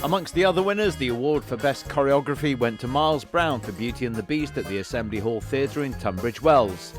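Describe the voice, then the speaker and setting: A man's voice: medium pitch, lively intonation, brisk. A man reading a scripted round-up of award winners as an announcer. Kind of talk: scripted narration. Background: music.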